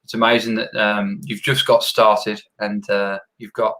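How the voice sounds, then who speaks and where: A male podcast host with a fairly low voice. He talks in long, winding sentences full of restarts as he addresses his guest. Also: level tone, measured pace, rough recording. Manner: rambling, warm praise, many restarts. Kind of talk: podcast interview.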